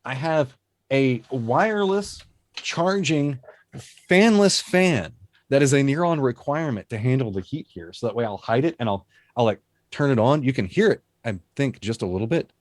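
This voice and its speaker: Low-voiced man chatting from his home studio. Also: expressive, moderate pace, no room sound. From Texas. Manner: easygoing, detailed, rambling explanations. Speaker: esports caster, guest. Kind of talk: casual interview chat over video call. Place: home broadcast setup room. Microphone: studio dynamic mic on video call.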